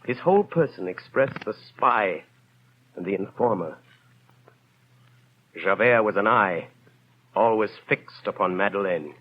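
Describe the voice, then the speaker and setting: An actor playing a solemn, brooding storyteller in a 1930s radio drama, narrating in a grave, deliberate, literary way. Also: male voice, on the low side, expressive, background noise. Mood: grim. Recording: dull 1930s radio recording. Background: faint music.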